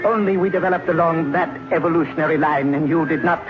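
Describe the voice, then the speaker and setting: Man acting a cold, high-voiced alien invader. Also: music in the background, lightly acted. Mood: cold, explanatory. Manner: formal, deliberate speech without contractions. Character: cold, shape-shifting protoplasmic alien invader posing as a man. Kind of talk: 1950s radio drama acting. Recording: noisy, muffled old radio recording.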